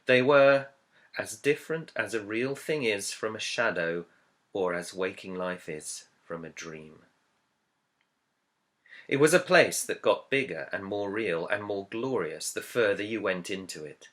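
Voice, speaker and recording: A man, an author, reading his own book introduction as scripted narration in a measured, earnest, reflective way. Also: on the low side, lively intonation, a bit bright. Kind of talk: scripted audiobook-style narration.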